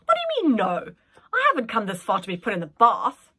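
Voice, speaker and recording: A woman's voice: fairly high pitch, wildly swooping pitch, a little fast, pushed and bright, husky, heavily acted. A woman voicing a stubborn, earnest young boy prince who questions and exclaims defiantly.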